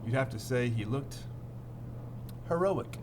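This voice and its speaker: A man's voice: mid-range voice, expressive, slow, noisy background. A man teaching through a storybook read-aloud, playful and theatrical, repeating phrases and chatting to his pupils.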